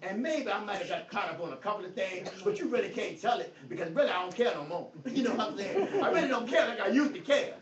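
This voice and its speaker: A man with a high voice preaches at a Bible study, his volume swinging from loud bursts to quiet. His comes through with a stutter. The phone sits far from him in an echoey room, so the sound is dull and faint, with a little hiss. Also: animated, fast, hardly any pauses, a crowd in the background. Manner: preachy, emphatic, rises and drops in volume; says he stutters. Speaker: pastor. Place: church hall. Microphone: phone across the room. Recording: echoey, distant, lo-fi phone recording.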